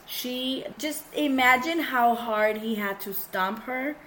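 Woman podcast co-host, hoarse from illness, bilingual casual storytelling, faint noise. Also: fairly high pitch, slow pace, pushed and bright, rough recording. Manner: switches between English and Spanish mid-sentence. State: sick, coarse voice. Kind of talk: casual true-crime chat.

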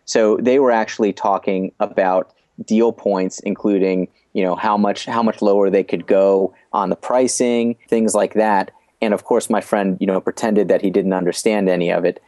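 A man with a very low voice talks through his business experience as an interview guest. He is measured and explanatory and often restarts a phrase before he finishes his point. The recording is dry and close. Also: fairly even intonation, darkish tone, no echo. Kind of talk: podcast interview storytelling.

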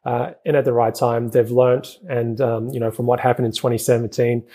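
A man speaks as an interview guest. His voice is dark and mellow with a husky edge. He talks fast, padding his answers with fillers and restarting phrases. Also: medium pitch, level tone. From New Zealand. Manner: rapid speech with many fillers and restarts. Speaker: guest, crypto investment executive.

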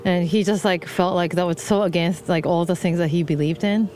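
A pregnant woman with a Japanese accent talks in a soft, lowish voice and hesitates often. Her speech is casual and full of fillers. Faint noise sits behind her. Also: fairly even intonation, a little fast. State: heavily pregnant. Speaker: guest, film composer. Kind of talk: casual conversation. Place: hot tub outdoors.

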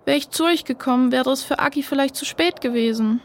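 Brave big sister, very high voice, earnest and caring, children's radio play. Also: female voice, a little fast. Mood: apologetic. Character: caring, brave, devout older sister, a girl. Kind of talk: children's audio drama acting.

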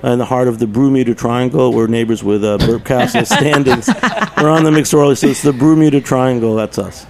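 A man's voice: mid-range voice, brisk, crowd noise. A man chatting casually as a guest in a radio interview. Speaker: radio show guest, bar owner.

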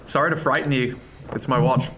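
An actor playing a kindly, calm first mate of a sailing ship in a period radio drama, with a reassuring, understated manner. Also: male voice, medium pitch, a little fast, slight background noise, natural voice.

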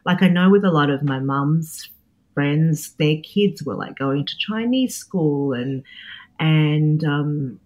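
Interview guest: Australian chef, 40s, deep for a woman, reflective, hesitant. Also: expressive, slow, a little mellow. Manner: thoughtful, many fillers and restarts. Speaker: guest, chef and cookbook author.

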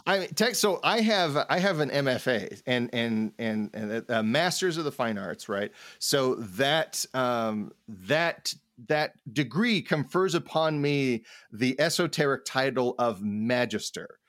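A man co-hosts a casual podcast at an ordinary middling pitch. He rambles haltingly, repeating words while he works out his thought. The sound is close and dry, with hardly any room around him. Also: animated, fairly bright tone, dry recording. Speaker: podcast co-host, art professor.

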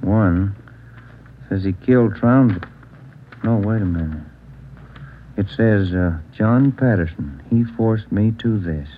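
An actor playing a steady, low-key detective partner in a 1950s radio crime drama. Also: male voice, takes it slowly, long pauses, slight background noise. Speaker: police partner. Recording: tinny old radio broadcast with music.

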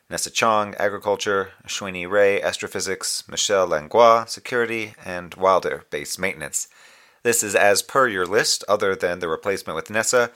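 A man playing a dutiful moonbase communications officer in a sci-fi audio drama, delivering reports in a formal, measured, dryly wry manner. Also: sits low, sharp tone. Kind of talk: scripted audio drama log broadcast.

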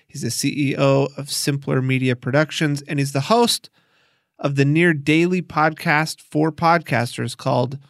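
A man's voice: medium pitch, a bit bright, no room sound, studio quality. A man hosting and narrating an audio documentary in a scripted style. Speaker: podcast documentary host and narrator.

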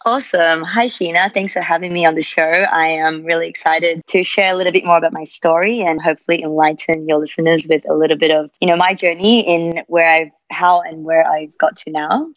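A woman's voice: low-pitched, fairly even intonation, a little fast, hardly any pauses, dry recording, highs cut off. A woman in her thirties with an Australian accent, speaking candidly and reflectively as a podcast guest. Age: 30s. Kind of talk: interview conversation.

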